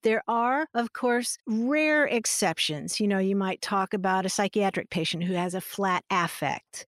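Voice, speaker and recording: A middle-aged woman explaining grammar rules in a relaxed, friendly way, laughing easily as she talks. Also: mid-range voice, measured pace, dry recording, studio quality. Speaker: grammar expert guest. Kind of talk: conversational explanation on a podcast.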